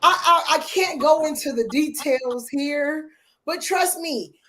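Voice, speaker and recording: Woman, high voice, animated storytelling, casual podcast chat. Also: slow, a little hiss or hum. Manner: animated storyteller, laughing asides. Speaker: podcast co-host.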